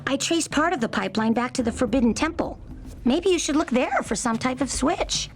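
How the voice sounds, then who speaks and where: A woman voicing a sharp-tongued young female mechanic in a video game cutscene, matter-of-fact and brisk. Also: high voice, lively intonation, a little hiss or hum.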